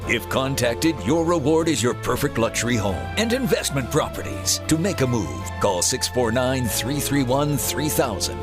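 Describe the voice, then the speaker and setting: A man's voice: mid-range voice, very animated, normal speed, hardly any pauses. A man doing a scripted, dramatic promotional voice-over for an advertisement. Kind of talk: dramatic scripted radio-style advert read. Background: music bed.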